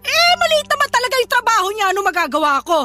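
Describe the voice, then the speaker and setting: Shrill, scornful Filipino mother. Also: sing-song, quick pace, pushed and bright, full character voice. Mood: indignant, nagging. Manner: nagging, sarcastic, condescending. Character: haughty, scornful middle-aged mother belittling daughter's suitor. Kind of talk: Filipino radio drama acting.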